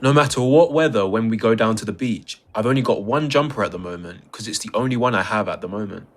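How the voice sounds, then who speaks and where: A man's voice: on the low side, fast talker. A man in casual conversation, giving brief, matter-of-fact answers.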